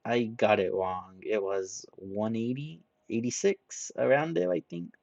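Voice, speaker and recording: A man doing a gentle, slow-talking giant. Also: lowish voice, vocal fry, no room sound, muffled recording. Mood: mild, unsure. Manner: slow, hesitant, mild.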